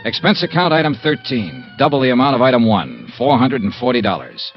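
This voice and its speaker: An actor playing a tough, wry insurance investigator, clipped and sardonic, in 1950s radio noir. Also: male voice, mid-range voice, sing-song, fairly quick, soft background music. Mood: terse, wry. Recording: dull vintage radio recording.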